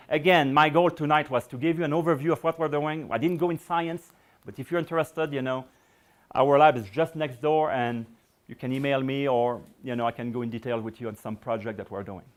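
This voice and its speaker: Hoarse-voiced man giving a science lecture. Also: fairly high pitch, fairly quick. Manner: rambling, many "you know" fillers and restarts. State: voice going hoarse. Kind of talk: public lecture with audience questions.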